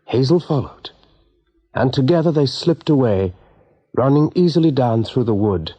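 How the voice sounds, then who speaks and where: A man giving expressive, measured narration for a radio drama, with a husky voice. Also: mid-range voice. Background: soft music.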